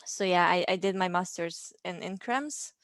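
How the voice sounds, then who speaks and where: A woman's voice: lowish voice, moderate pace, no room sound, studio quality. Chatty Hungarian woman in her thirties. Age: 30s. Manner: chatty with frequent ums and laughs. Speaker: interview guest. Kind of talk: casual interview.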